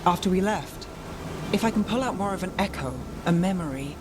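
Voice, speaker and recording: An actress playing a dry, weary woman detective mage in a video game, with wry, measured delivery. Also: on the low side, expressive, background noise, close to an everyday voice. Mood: intent. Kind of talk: video game dialogue acting. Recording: music behind the voice.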